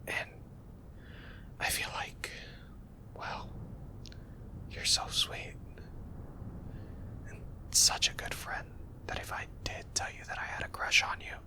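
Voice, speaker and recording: Male ASMR boyfriend voice, whispered throughout, very slow, soft and hesitant. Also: rough recording. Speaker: ASMR boyfriend roleplay narrator.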